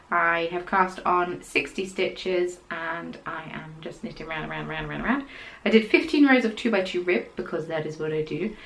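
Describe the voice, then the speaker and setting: A young woman hosting a podcast, chatting casually about her knitting in a rambling way and dropping into quiet, whispered asides. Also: fairly low pitch, rough recording. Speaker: podcast host.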